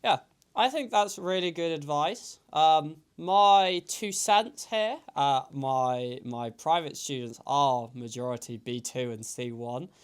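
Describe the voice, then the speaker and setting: A man who is an English teacher hosting an interview, speaking in a measured, articulate way. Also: a little high, clear, resonant tone.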